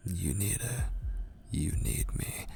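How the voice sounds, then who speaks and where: A man playing a possessive, obsessed kidnapper in an intimate ASMR roleplay, coaxing with menacing tenderness in a breathy voice that drifts toward whispers. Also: low-pitched, expressive, dark tone, a little hiss or hum, exaggerated voice. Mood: hushed, coaxing. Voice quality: breathy, husky. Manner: slow, coaxing, menacingly tender, lingering on phrases. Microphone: close studio microphone.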